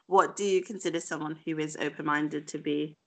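A woman leads a casual interview, asking curious and thoughtful questions. Her voice sits somewhat low for a woman. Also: fairly even intonation, brisk.

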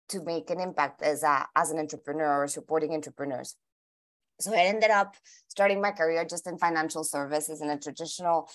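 Colombian woman with a lowish voice. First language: Spanish. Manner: many restarts and repeated words, thinking aloud. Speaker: guest. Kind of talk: interview answers.

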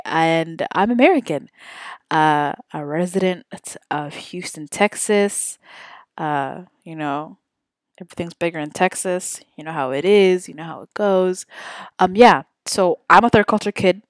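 Chatty young woman, low and dry. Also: no echo. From Saudi Arabia. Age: 20s. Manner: rambling, chatty, many ums and self-corrections. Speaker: podcast host. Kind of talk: casual solo podcast chat.

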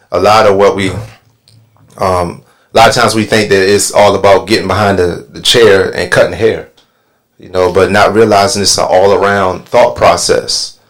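A man hosting a casual podcast panel, talking loosely with lots of ums and restarts. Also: low-pitched. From Northern Virginia. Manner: relaxed, filler-heavy with many ums. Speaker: podcast host and barber.

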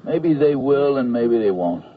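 An actor playing a stubborn, officious army medical sergeant with gruff, slangy talk in a radio comedy drama. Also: male voice, medium pitch, lively intonation, a little slow, close to an everyday voice. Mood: impatient. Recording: dull, band-limited radio recording.

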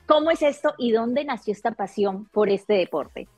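A woman with a fairly high voice works as an interview host, speaking effusively and with enthusiasm. The sound is dry and close, with music behind her. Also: expressive, moderate pace, no room sound.

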